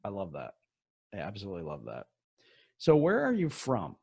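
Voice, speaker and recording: A man's voice: on the low side, huge pitch swings, normal speed, creaky, darkish tone, husky, dry recording. A man hosting a podcast interview, chatting casually and laughing often. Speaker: podcast host.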